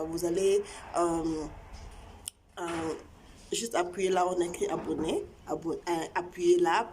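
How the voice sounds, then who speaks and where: Woman, of congo, tshiluba speaker, lowish voice, halting casual talk, faint background hiss. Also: mellow. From Congo (DRC). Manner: halting, many repeated words and fillers. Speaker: channel host. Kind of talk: casual chat to viewers.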